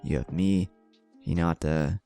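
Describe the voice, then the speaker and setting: Man voicing a protective, deep-voiced young ninja. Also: fairly even intonation, measured pace, vocal fry, warm tone, faint music behind. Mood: confident explaining. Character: protective, loyal, hot-tempered young male ninja.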